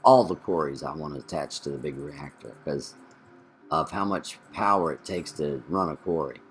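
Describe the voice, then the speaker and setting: Deep-voiced man thinking aloud over gameplay. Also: normal speed, faint music behind. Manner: thinks aloud while playing, mid-sentence self-corrections. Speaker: gameplay channel co-host. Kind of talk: casual gameplay commentary.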